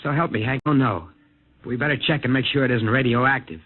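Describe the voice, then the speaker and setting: An actor playing a daring, stubborn young American test pilot in a 1950s radio drama. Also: male voice, mid-range voice, a little fast, close to an everyday voice. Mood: shaken, insistent. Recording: noisy, tinny old radio recording.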